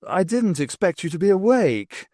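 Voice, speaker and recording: A man voicing a big, jolly, clubbish male cat gentleman with a fruity English voice for an audiobook. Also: sits high, big pitch swings, moderate pace, no room sound, clean studio sound, pitched above the performer's own voice. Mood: jovial surprise. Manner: jolly, clubbish upper-class bluster. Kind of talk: audiobook character voice.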